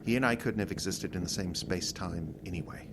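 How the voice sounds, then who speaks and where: An actor playing an urgent, guilt-ridden male time traveler in an audio drama, speaking in a halting, emphatic, earnest way. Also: deep voice, background noise, lightly acted. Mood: resolved, subdued.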